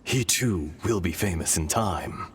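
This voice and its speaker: An actor playing an ancient, weary dragon spirit inside a young man's body, speaking in a solemn, measured, hushed way, with game music behind. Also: low-pitched, animated, slight background noise. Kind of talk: video game voice acting. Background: orchestral game music.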